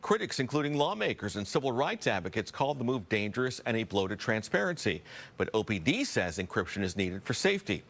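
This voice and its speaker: A man with an American accent reading scripted broadcast news as an anchor, in a measured, formal way. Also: fairly low pitch, a bit bright.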